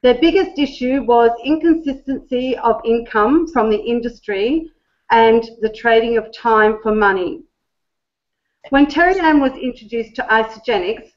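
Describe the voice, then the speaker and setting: A woman hosts a webinar and reads a scripted introduction in a slightly higher voice. A few ums slip in. The sound is dull and lo-fi, as on a call line. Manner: reads from a script with some fillers. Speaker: webinar host introducing the speaker. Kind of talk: read-out introduction. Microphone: conference call line.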